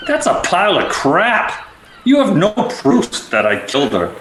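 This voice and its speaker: A man's voice: sits high, huge pitch swings, a little slow, a bit bright, exaggerated voice. A man playing a defiant, blustering ship's cook who stands accused, in a radio play. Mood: indignant, defiant. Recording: echoey room with faint noise.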